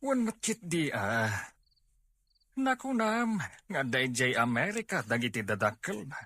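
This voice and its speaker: A man playing an eager, opinionated middle-aged father in an audio drama, with a raspy voice. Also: mid-range voice, big pitch swings, a little slow, bright, forceful tone, no room sound, heavily acted. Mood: eager, curious. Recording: faint music under the voice.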